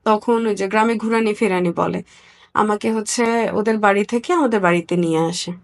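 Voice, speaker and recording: A woman from Bangladesh giving a personal account as an interview guest, candid and somewhat halting. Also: medium pitch.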